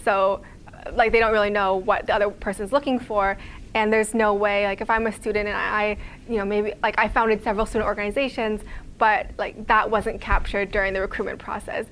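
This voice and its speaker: A young woman from Quebec, Canada, gives a relaxed talk about her startup in a small office lounge. Her pitch stays level and unvaried, and she often pauses on "like" and "um". A faint hiss sits under the room sound. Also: poor audio. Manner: conversational, many fillers like "like" and "um". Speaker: guest speaker, startup founder. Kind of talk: casual talk to a small audience.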